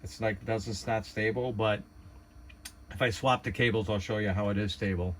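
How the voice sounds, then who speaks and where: Male hobbyist demonstrator, lowish voice, hesitant and unscripted, talking through a hands-on task over noticeable background noise. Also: fairly even intonation, moderate pace, fairly bright tone. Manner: hesitant, unpolished, thinking aloud. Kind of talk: casual hands-on tutorial narration.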